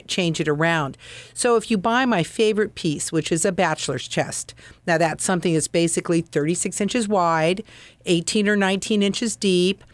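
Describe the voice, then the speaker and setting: A woman's voice: fairly low pitch, dry recording. A woman guest on a radio show, explaining furniture advice in an earnest, practical way. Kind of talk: radio interview.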